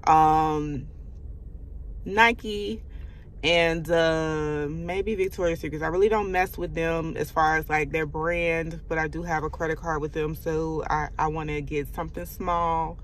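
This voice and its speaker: A woman's voice: sits low, fairly even intonation, a little slow, slight background noise. A young woman vlogging to her phone from inside a car, chatty and advice-giving, calling her viewers y'all. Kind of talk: casual vlog talk to camera. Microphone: phone camera at arm's length.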